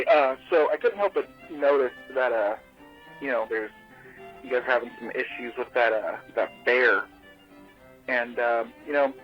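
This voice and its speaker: A man playing a rambling call-in listener in a comedy audio drama, hesitant and deadpan with a dark intensity, on a lo-fi voicemail. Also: mid-range voice, natural voice. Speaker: caller. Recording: dull lo-fi radio voicemail, faint music.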